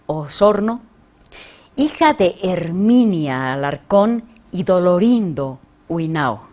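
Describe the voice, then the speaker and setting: Woman tv host interviewing, slow careful delivery, slightly low voice. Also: a little mellow. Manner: measured, frequent short acknowledgments. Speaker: journalist and show host. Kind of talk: interview questions and back-channel replies.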